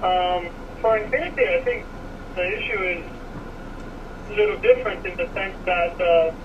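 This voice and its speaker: A man from Miami with an American accent, answering interview questions thoughtfully with many pauses and fillers. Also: sits high, fairly even intonation. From Miami, USA. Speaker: car design director guest. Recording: distant, dull, noisy radio audio.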